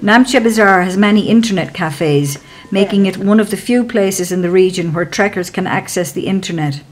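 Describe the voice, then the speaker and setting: A woman giving calm, measured, scripted narration for a travel documentary as a voice-over. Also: mid-range voice.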